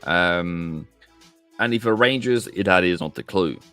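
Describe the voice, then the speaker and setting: A man's voice: low-pitched, takes it slowly, fairly bright tone, clean tone. A man hosting a live trading stream, talking casually and answering viewers directly as he works through the charts. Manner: casual, chatty, answers viewers directly, calls them mate. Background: faint background music.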